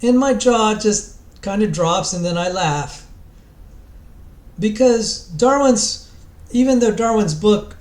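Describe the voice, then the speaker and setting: A roomy, echo-heavy recording of a man delivering an informal lecture. His voice sits somewhat high for a man. He talks haltingly, breaking off and restarting his sentences as he explains. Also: darkish tone. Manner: halting, self-interrupting. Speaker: presenter. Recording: roomy, echoey sound.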